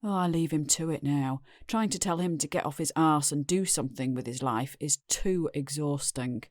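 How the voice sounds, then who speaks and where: Woman playing weary British wife, lowish voice. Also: moderate pace, no room sound, clean studio sound, lightly acted. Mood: weary, disappointed. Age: middle-aged. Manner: plain-spoken, world-weary. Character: weary, resigned middle-aged wife and mother, defensive drinker. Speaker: actor in an audio drama. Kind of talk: confessional audio drama monologue.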